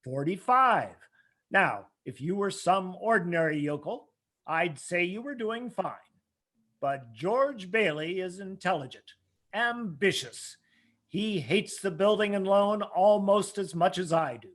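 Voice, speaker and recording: A man voices a scheming old money man from a vintage radio drama. He speaks slowly in a high-pitched voice. His manner is imperious and condescending, used to getting his way. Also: animated, fairly bright tone, heavily acted. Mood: smooth condescension. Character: domineering, scheming rich old businessman, radio villain. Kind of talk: old-time radio play acting.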